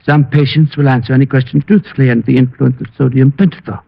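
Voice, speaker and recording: Husky, formal radio-drama doctor. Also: male voice, mid-range voice, fairly even intonation, quick pace. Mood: explanatory. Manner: precise, formal. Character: proper, professional male doctor, mildly flustered. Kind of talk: old-time radio drama acting. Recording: muffled old radio recording.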